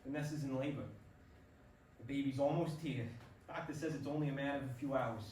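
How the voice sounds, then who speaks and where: A man's voice: a little high, close to an everyday voice. A man in a staged play reading playing an earnest, pleading ballplayer and expectant father grieving his dad. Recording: roomy stage recording, distant mic, faint noise.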